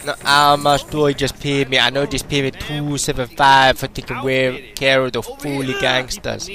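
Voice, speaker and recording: A man's voice: mid-range voice, completely flat delivery, normal speed, a crowd in the background. A young British man casually commentating a game, joking and rambling in a put-on Jamaican accent. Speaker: gameplay commentator. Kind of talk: casual let's-play commentary.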